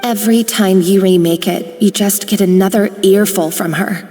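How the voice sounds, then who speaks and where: Brief: actress as dry-witted, kind kitchen colleague. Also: female voice, medium pitch, fairly even intonation, normal speed, mellow, close to an everyday voice. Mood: wry. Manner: dry, caring. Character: kind, sardonic young female cook. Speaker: video game character. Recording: reverberant game audio with music.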